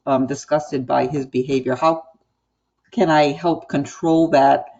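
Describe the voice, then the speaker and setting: An American woman speaks in an online meeting with a measured, explanatory manner. Her pitch moves little and she pauses as she goes. The call audio is slightly muffled and lo-fi. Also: fairly even intonation, warm tone. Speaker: school board chair.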